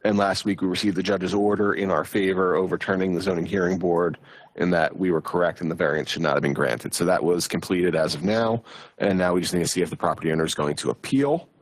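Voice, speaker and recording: A borough official with a low, loud voice talks through his report at a council meeting. He keeps it plain and conversational and fills gaps with ums and uhs. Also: steady tone, quick pace, poor audio. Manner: plain, conversational, with frequent fillers.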